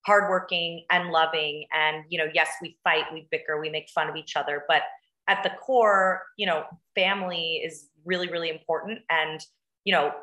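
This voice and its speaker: A woman with an American accent speaks earnestly in an interview. Her voice is fairly low but bright and forceful, recorded over a video call in a very echoey room. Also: fairly even intonation, moderate pace. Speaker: actress, interview guest.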